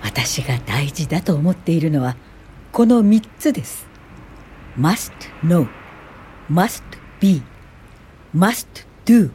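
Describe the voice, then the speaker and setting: An actress playing a kindly, dignified elderly woman professor in a radio drama, speaking in a gentle, measured, instructive way. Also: sits low, sing-song, slight background noise, exaggerated voice. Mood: earnest, instructive. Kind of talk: Japanese radio drama acting and narration.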